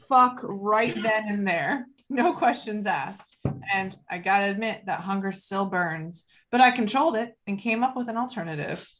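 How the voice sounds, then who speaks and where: A woman's voice: medium pitch, fairly bright tone. A woman playing a wiry, weaselly drug dealer in her thirties, brash, profane and slangy, in a screenplay table read. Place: remote video call.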